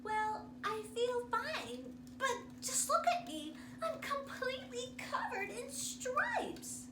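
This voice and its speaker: A woman voicing a worried, dramatic young storybook schoolgirl with exaggerated, drawn-out wailing delivery. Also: very high voice, sing-song, voice pushed up, exaggerated voice. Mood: fretful, exasperated. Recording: roomy, distant mic, noisy background.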